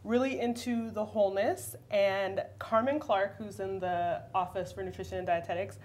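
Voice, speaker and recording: A woman's voice: mid-range voice, steady tone, moderate pace, darkish tone. A young woman from Southern California and Nevada, a dietetics student, chatting in a friendly way during a TV studio interview. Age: young adult. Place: studio kitchen set.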